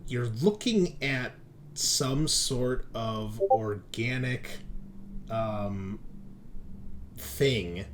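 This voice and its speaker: A man working as game master, improvising descriptive narration for a tabletop horror game in a halting way. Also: mid-range voice, animated, a little hiss or hum.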